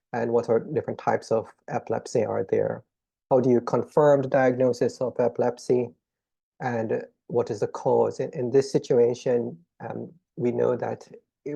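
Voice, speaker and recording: Gravelly, mellow Jamaican-accented man lecturing. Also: mid-range voice. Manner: measured, explanatory, frequent "um" pauses. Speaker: paediatric neurologist giving a conference talk. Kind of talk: conference lecture with slides.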